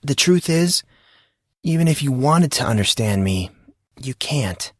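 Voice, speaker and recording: A man's voice: mid-range voice, expressive, measured pace, dry recording, studio quality, voice pushed up. A man voicing an intense, troubled teenage boy in an audiobook drama, earnest and self-deprecating, with impassioned, dramatic delivery. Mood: bitter irony.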